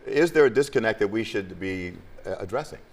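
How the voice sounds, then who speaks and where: A man's voice: fairly low pitch, expressive, fairly quick, gravelly. A man moderating a TV panel discussion, asking probing questions with some fillers.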